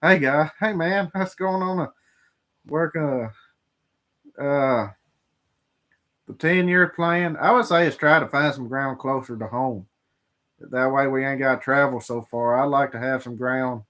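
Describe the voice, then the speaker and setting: Relaxed farmer with halting talk. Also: male voice, medium pitch. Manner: drawling, relaxed, halting with fillers. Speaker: farmer co-hosting a livestream. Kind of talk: casual chat reading viewer questions.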